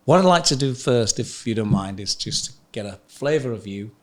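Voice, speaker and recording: A British man hosting a business podcast, introducing his guest upbeat and asking halting questions. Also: medium pitch, lively intonation. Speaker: podcast host. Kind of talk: interview hosting.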